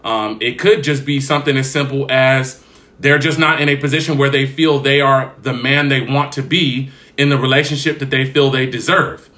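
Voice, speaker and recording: A young man co-hosting a casual chat, talking in long, measured, reasoned turns. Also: medium pitch, steady tone, bright, forceful tone. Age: young adult. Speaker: podcast co-host.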